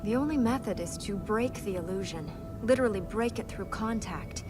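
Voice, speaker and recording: An actress playing an earnest, thoughtful young princess in a video game, speaking seriously and with measured care. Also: female voice, mid-range voice, music in the background, lightly acted. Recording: clear background noise.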